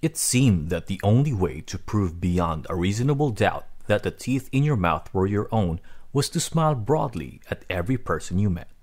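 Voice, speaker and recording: A man reading a children's storybook aloud as narrator, in an expressive, dramatic way. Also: fairly low pitch, moderate pace. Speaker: read-aloud host.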